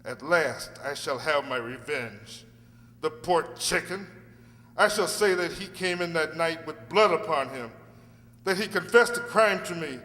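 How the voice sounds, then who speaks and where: An actor playing an earnest, dogged defence barrister with formal, oratorical delivery in a radio play. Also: male voice, on the high side, animated, a little slow, pushed and bright. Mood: reading solemnly. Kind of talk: courtroom radio play acting. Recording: hall reverb with faint hum.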